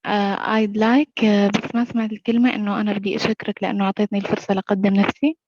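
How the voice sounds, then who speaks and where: A woman's voice: mid-range voice, flat delivery, rapid-fire, a little mellow. A woman in her twenties with a Levantine Arabic accent, practicing English hesitantly and repeating after her tutor. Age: 20s. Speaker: student. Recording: dull, dry call audio.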